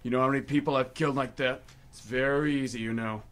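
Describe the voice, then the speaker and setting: A man's voice: mid-range voice, steady tone, fast talker, fairly bright tone, close to an everyday voice. A man playing a menacing, boastful, drunk war veteran who bullies his siblings, taunting in folksy tough talk. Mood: threatening. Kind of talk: live amateur radio theatre acting. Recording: clearly noisy amateur radio drama recording.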